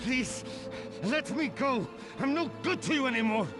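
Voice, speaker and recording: A man's voice: very high voice, lively intonation, a little slow, music in the background. A man acting a frightened, desperate captive in a video game, with strained, pleading delivery. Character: desperate, frightened captive henchman under interrogation. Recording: loud gunfire and vehicle noise.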